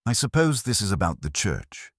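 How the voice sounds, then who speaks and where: Casting: man as scornful, defiant mystery villain, deep and rough-edged, guarded and clipped. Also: wildly swooping pitch, moderate pace, no room sound, studio quality, full character voice. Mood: cool, guarded. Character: cold, smug, defiant male villain under suspicion. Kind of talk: audio detective drama.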